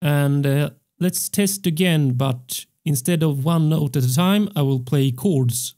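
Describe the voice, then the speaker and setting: A young man hosting a music production tutorial, explaining step by step in a measured way into a close studio mic. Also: on the high side, dark tone. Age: young adult. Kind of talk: tutorial narration. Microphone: close studio microphone on a stand.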